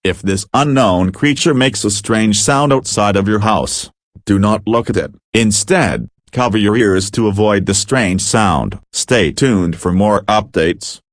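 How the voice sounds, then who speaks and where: A man's voice: fairly low pitch, animated, a little slow, no room sound, clean studio sound. A synthetic man's voice as an emergency alert announcer, giving scripted instructions in a formal manner. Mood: formal warning.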